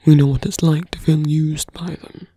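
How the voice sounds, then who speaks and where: Man acting a husky, whispering seductive villain. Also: fairly high pitch, fairly even intonation, dark tone. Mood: bitter, intimate. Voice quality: breathy, husky. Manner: slow, coaxing, lingering pauses. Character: seductive, brooding male fantasy villain wooing a hero. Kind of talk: intimate ASMR roleplay, close whispering.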